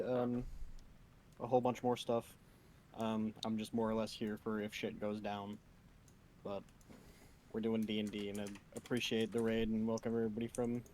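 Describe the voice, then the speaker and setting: A man's voice: mid-range voice, a little mellow. A blunt, joking man who swears freely while chatting casually on a live tabletop game stream. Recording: clearly noisy room with keyboard typing.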